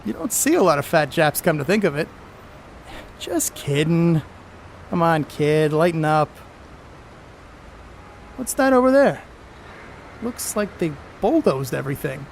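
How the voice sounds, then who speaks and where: An actor playing a brash, slangy, middle-aged star ballplayer who teases bluntly in a radio play. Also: male voice, fairly high pitch, very animated, unhurried, creaky, long pauses, slight background noise, heavily acted. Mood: teasing. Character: brash wisecracking star ballplayer, middle-aged man, gruff but good-natured.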